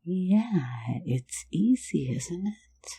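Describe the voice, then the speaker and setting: A woman's voice: lowish voice, very animated, very slow, mellow, husky, heavily acted. A woman whispering as a sly teasing phantom thief. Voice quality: mostly whispered. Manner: teasing, soothing, lulling listener to sleep. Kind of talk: ASMR roleplay.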